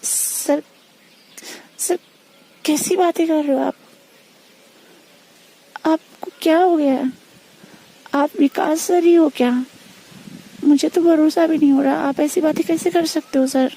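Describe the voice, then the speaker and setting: A woman's voice: high voice, steady tone, slow pace, pauses often, warm tone. A young woman on a private phone call, speaking earnestly and politely but with insistent reproach. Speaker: student.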